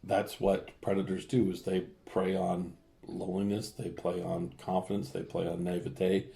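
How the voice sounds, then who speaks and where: A man's voice: low-pitched, completely flat delivery, normal speed, vocal fry, mellow. A middle-aged man, a father chatting casually and teasing his daughter. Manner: jokey, dry teasing. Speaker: father and podcast guest. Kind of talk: casual chat.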